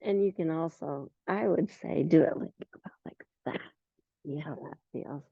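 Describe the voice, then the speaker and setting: A hoarse middle-aged woman guiding a yoga class in a relaxed, unhurried way, with some fillers along the way. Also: low-pitched, no echo. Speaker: yoga teacher. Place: yoga studio. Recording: muffled lo-fi recording.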